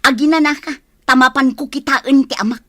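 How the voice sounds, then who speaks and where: Worried, assertive married daughter, radio drama; high voice, close dry sound. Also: female voice, steady tone, brisk, sharp tone, no room sound. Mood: pleading, indignant. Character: anxious, assertive married daughter worried about her father. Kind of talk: Ilocano radio drama acting.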